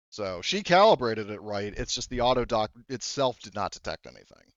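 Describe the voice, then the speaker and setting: A man running a tabletop roleplaying game as game master, improvising narration and explaining rules in a halting way, thinking aloud. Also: mid-range voice, fairly bright tone, no echo, lo-fi sound.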